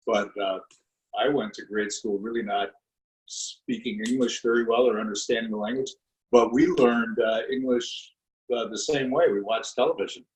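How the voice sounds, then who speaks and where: A man's voice: medium pitch, moderate pace, poor audio. A man from the Netherlands hosting a casual interview, chatting in a friendly way and often affirming the guest. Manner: friendly, with many "you know" fillers and frequent affirmations. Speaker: podcast host.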